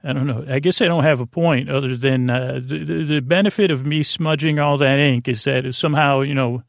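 A middle-aged American man with a creaky, gravelly voice, hosting a sleep podcast in a meandering, halting, whimsical monologue. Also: mid-range voice, steady tone, dry recording, studio quality. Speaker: podcast host. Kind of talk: rambling bedtime monologue to help listeners sleep.

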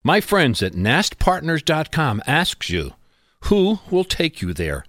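A man's voice: fairly high pitch, wildly swooping pitch, slow, over music. A man hosting a radio business show, speaking informally and bluntly in a conversational interviewing style.

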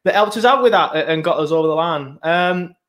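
Male host, highish voice, rambling chatty style, laptop recording. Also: animated, brisk, a bit bright. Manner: chatty, rambling with many ums. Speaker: podcast host. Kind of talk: casual football podcast chat. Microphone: laptop setup, video podcast.

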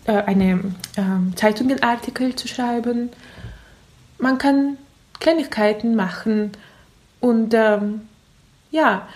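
A woman's voice: on the high side, a little mellow. A woman who is not a native German speaker, with a foreign accent, giving reflective interview answers in careful, searching phrases. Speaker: interview guest.

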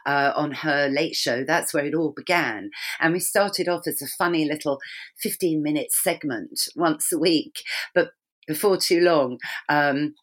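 A woman's voice: low voice, a little slow. A woman who grew up bilingual in Swedish and English, chatting as an interview guest with frequent ums. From England. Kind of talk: conversational interview.

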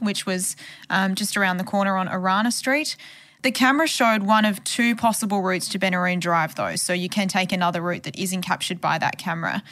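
A woman's voice: medium pitch, fairly bright tone. A woman journalist giving a conversational podcast account of a case. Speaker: journalist who covered the case.

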